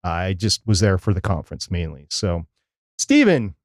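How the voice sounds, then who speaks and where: A man's voice: low voice, sing-song, normal speed, no room sound, clean studio sound. A middle-aged man, casually recapping as a podcast co-host in a rambling, plain-spoken way. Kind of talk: casual conference recap on a live chat show.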